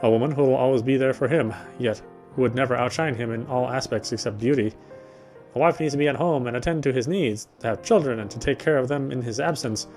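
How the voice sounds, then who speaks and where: A man reads a visual novel script aloud in a mid-pitched voice. He delivers it as story narration, with soft music playing underneath. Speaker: let's player reading a visual novel aloud.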